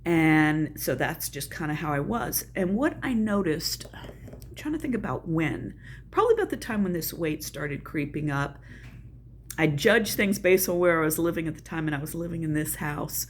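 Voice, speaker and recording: Older woman, southern US accent, lowish voice, chatty folksy vlog, faint room hum. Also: animated, moderate pace. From American South. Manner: chatty, folksy, self-deprecating asides. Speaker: channel host. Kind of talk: casual personal vlog.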